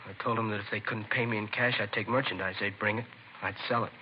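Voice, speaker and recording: Man playing a fast-talking, resigned teenage delinquent. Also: lowish voice, steady tone, close to an everyday voice. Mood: reluctant confession. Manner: halting, slangy, confessional. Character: remorseful, resigned teenage boy addict, streetwise and bitter. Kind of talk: 1950s radio crime drama acting. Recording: muffled, hissy vintage radio recording.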